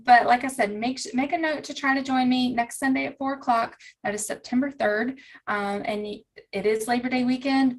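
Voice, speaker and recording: A woman's voice: on the high side, poor audio. Southern American woman chatting casually. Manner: informal, encouraging, folksy. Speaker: planner coach and community host. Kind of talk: casual chat to online viewers.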